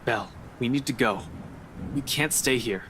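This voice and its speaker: A man voicing a terse, guarded investigator hero in a horror game, low-key but insistent. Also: moderate pace, fairly bright tone, a little hiss or hum, close to an everyday voice. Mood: urgent. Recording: music bed behind the voice.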